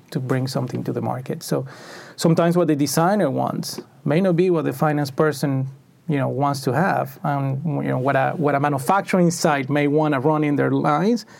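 Man, from Venezuela, interview guest, gravelly voice, slightly high pitch, thoughtful, restarts sentences. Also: darkish tone. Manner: thoughtful, many restarts and fillers. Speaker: packaging engineer, interview guest. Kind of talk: conversational interview answers.

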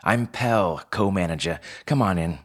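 A man's voice: deep voice, lively intonation, unhurried. A man voicing a bossy female fox store manager in a story, with a barking, clipped, blunt way of talking. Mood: brisk, bossy.

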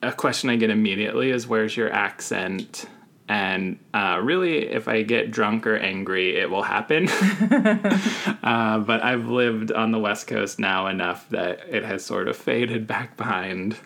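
A man from the Deep South co-hosts a laid-back podcast conversation over a video call. His voice has a gravelly edge, and he talks in a thoughtful, reflective way with an occasional laugh. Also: measured pace, bright, forceful tone. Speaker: podcast co-host. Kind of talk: casual chat.

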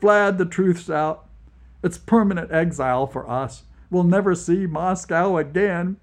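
A man's voice: medium pitch, expressive, slow. A man voicing Nikita, an emotional Soviet prisoner who makes dramatic, indignant outbursts. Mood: despairing.

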